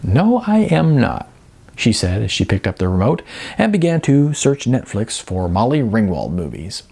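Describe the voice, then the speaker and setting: A man with a husky voice narrating a novel as scripted audiobook storytelling. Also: on the low side, very animated, normal speed, a little mellow.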